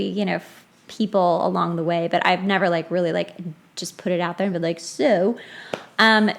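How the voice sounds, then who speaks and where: A woman chatting casually and telling stories in a blunt, animated way, slipping into acted-out quotes. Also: mid-range voice. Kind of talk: casual chat, anecdotes.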